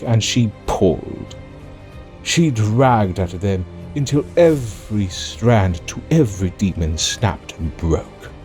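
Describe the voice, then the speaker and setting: Guy doing a slow sardonic villain telling his story, swoopy and theatrical, audio drama style. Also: fairly low pitch, mellow, exaggerated voice. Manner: theatrical, mocking, drawn-out delivery. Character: sardonic, theatrical male villain, amused and menacing. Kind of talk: first-person dramatic narration for an audio drama.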